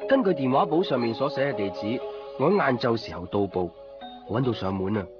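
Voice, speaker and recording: Guy acting curious obsessive young man in a 90s radio play, pitch moves a lot, music under him. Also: expressive, fairly quick, highs cut off, lightly acted. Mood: reflective, quiet. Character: curious, obsessive young man narrating his own story. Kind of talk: Cantonese radio drama, introspective narration and dialogue. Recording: 1990s radio drama, band-limited, music behind.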